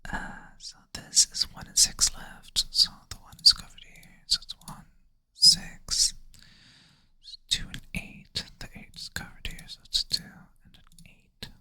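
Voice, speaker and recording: ASMR whisperer, sleepy and self-deprecating, bright airy close-mic whisper. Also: unhurried. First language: Swedish. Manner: soft whisper, thinking aloud, self-deprecating. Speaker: ASMR creator. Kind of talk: whispered ASMR puzzle play-through.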